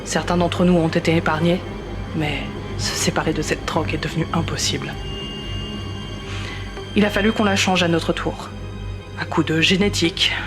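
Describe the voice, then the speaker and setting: A man giving solemn scripted narration for a science-fiction audio drama, in clipped phrases. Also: on the high side.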